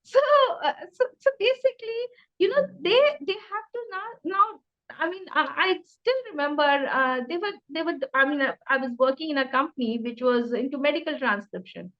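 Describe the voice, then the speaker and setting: A woman's voice: sits high, moderate pace, muffled recording. A middle-aged woman with an Indian accent speaks conversationally as an interview guest, talkative and emphatic, often repeating phrases. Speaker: interview guest, career changer.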